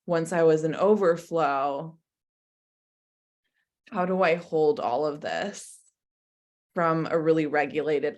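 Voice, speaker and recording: A woman from Croatia and America, speaking as an interview guest in an introspective, conversational way peppered with "like". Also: low-pitched. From born in Croatia, lived mostly in America. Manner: introspective, hedging with frequent "like". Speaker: interview guest, business coach.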